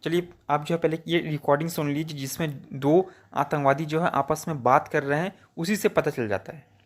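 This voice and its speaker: Man, Indian accent, slightly high voice, colloquial opinionated explainer to viewers. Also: moderate pace. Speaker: commentator. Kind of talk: informal explanatory news commentary to viewers.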